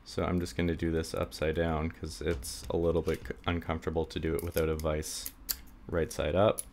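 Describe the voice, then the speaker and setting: A man's voice: rumbling low, monotone, a little fast, darkish tone, slight background noise. A man giving a casual hands-on tutorial, rambling with many fillers and self-deprecating asides, sounding slightly stuffy from a cold. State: stuffy, getting sick. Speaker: hobby lockpicker demonstrating. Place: indoors at a table.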